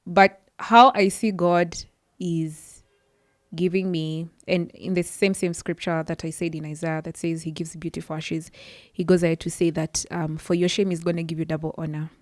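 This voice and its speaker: A woman's voice: fairly low pitch, steady tone, normal speed, no echo, studio quality. A woman sharing her personal story as a podcast guest, earnest and often restarting her sentences. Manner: earnest, searching for words with frequent restarts. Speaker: podcast guest, psychology worker. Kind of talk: personal testimony in a podcast interview.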